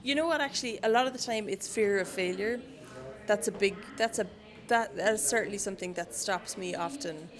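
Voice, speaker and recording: A woman's voice: mid-range voice, fairly quick. A young woman with a Cork Irish accent, a council worker as the guest in a casual interview, chatty and laughing often. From Ireland. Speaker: city council staff guest.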